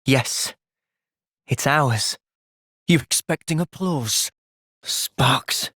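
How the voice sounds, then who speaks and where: A man voicing an earnest, thoughtful young fighter in a video game, measured and sincere but capable of impassioned intensity. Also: mid-range voice, bright, forceful tone, husky, no echo, studio quality, heavily acted. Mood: thoughtful, steady. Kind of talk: video game cutscene voice acting.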